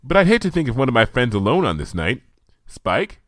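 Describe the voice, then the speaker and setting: A man voicing an eager, bookish young female unicorn who talks in a brisk, earnest, slightly flustered way. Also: mid-range voice, big pitch swings, no echo, clean studio sound, pitched above the performer's own voice, lightly acted.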